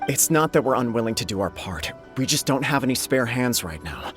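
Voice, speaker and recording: An actor playing a dutiful young noble heir, formal and earnest, weighed down by duty. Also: male voice, mid-range voice, sing-song, fairly quick, a bit bright. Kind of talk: game cutscene voice acting. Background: game music.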